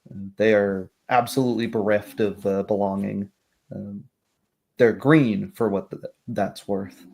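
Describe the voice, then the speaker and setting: A man narrating a tabletop roleplay game as game master, descriptive and somewhat halting. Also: lowish voice, steady tone, gravelly, a little mellow.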